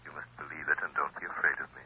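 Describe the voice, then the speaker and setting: An invisible crime-fighter, calm and commanding, low raspy voice, dull old radio sound. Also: male voice, racing, heavily acted. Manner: soothing, firm and commanding. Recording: lo-fi 1930s radio broadcast.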